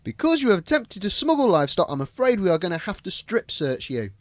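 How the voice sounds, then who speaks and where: A young male jobsworth, voiced by an actor with a high, very lively voice. He is polite, apologetic and stuck on the rules, recorded dry for spoof radio. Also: fast talker, no echo. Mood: officious. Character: young male airport worker, apologetic, rule-bound. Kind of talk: spoof docu-soap radio comedy acting.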